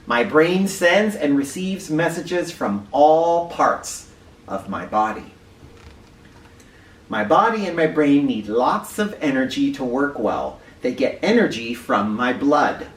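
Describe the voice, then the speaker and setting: A man hosting an educational read-aloud for children, speaking directly to them with clear emphasis and questions. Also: medium pitch, lively intonation, slow. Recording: roomy, distant mic with faint noise.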